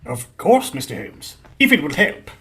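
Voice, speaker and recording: A man's voice: on the high side, sing-song, moderate pace, fairly bright tone. A man acting a cocksure, defensive police inspector in a radio play, eager and fussy.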